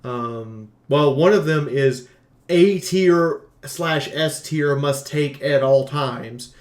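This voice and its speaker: A man's voice: on the high side, slow pace. A man giving an unscripted game review in an informal, emphatic way, as a channel host talking to viewers. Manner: informal, emphatic, occasional swearing. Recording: roomy, echoing room sound.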